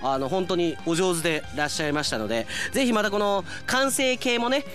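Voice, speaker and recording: Lively, chatty male radio host. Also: high voice, a little fast, faint music behind. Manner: chatty, addresses listeners directly. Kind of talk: casual hosting talk to listeners.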